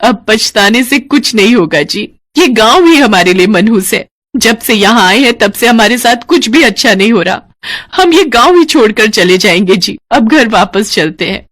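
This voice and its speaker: A woman voicing an earnest, expressive and devout farmer's wife in a Hindi animated moral story. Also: fairly high pitch, normal speed, no echo, studio quality. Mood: resigned.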